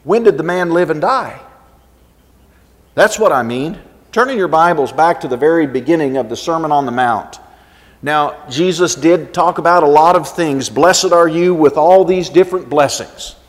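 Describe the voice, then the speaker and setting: A male preacher with a fairly high-pitched voice delivers an expository sermon. He teaches deliberately and emphatically, moving between scripture readings and rhetorical questions. His voice carries long reverb from a large hall.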